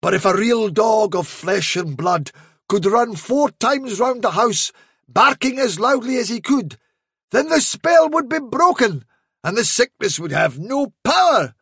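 A man's voice: extremely high pitch, expressive, unhurried, a bit bright, slightly rough, dry recording, studio quality, pitched above the performer's own voice, exaggerated voice. A man voicing a cunning male trickster rabbit posing as a fairy, in a storybook reading, delivering sly, solemn, dramatic warnings. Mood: mock despair.

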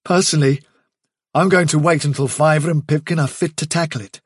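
A man voicing a thoughtful young leader rabbit who speaks calmly and steadily. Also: a little high, fairly quick, breathy edge, no echo, clean studio sound. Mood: resolute.

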